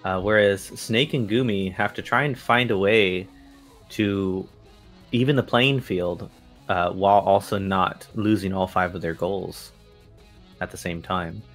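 A man with a fairly low voice calls an esports match play by play, talking quickly and climbing into excited shouts at big moments. Faint game music sits under his close-miked voice. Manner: excitable, rising into fast hype calls. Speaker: esports caster. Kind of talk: play-by-play commentary.

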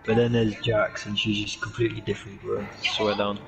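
A man's voice: lowish voice, flat delivery, brisk, gravelly, poor audio. A man joking around and swearing with a friend while gaming. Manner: swears, says "swear down" often. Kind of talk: casual banter while gaming. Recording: music playing behind.

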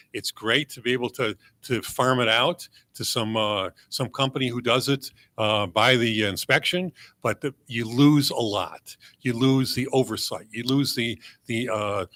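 A man speaking as a mayoral candidate at a public forum, in a halting, folksy way with repeated words. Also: mid-range voice, fairly bright tone, no echo.

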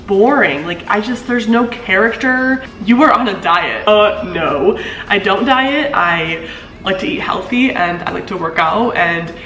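A woman's voice: lively intonation, unhurried, bright, forceful tone. Chatty young woman in an echoey room. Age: 20s. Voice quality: deeper voice for a woman. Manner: chatty, laughs often, swoops up in pitch. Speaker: vlogger. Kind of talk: casual chat reading comments. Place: living room of an apartment. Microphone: camera mic at a few feet.

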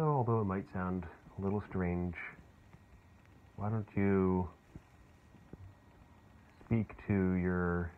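A man leading a guided meditation in a soothing, unhurried way, leaving long pauses. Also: deep voice. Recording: muffled phone-like sound with clear background noise.

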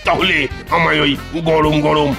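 Genial old grandfather, cartoon, male actor: creaky, raised voice, hesitant, repetitive phrasing. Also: a little high, little pitch movement, measured pace. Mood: genial, dithering. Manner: hesitant, musing, repeats himself. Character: dithering, genial old grandfather shopping for sweets. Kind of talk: cartoon voice acting. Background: music behind the voice.

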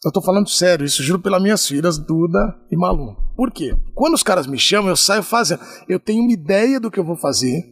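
A man in his forties telling stories as a guest in a podcast studio, animated and laughing often. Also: sits high, fast talker, hardly any pauses, slightly rough, no room sound. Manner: lively, animated storyteller with bursts of laughter. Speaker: podcast guest, sports reporter. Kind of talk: casual chat, storytelling. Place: podcast studio, small room. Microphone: desk mic on a stand.

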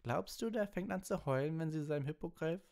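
A man's voice: fairly high pitch, brisk, darkish tone, voice pushed up, natural voice. A man voicing a sneering, taunting teenage schoolboy bully who is easily rattled. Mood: sneering, mocking.

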